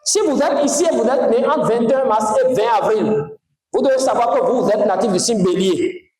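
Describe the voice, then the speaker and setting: A spiritual teacher from Togo lectures with lively emphasis, throwing questions out to the room. His voice sits high for a man. It rings with heavy echo off the walls. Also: fairly quick. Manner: animated, emphatic, questions to the audience. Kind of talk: lecture to a class. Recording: very echoey room.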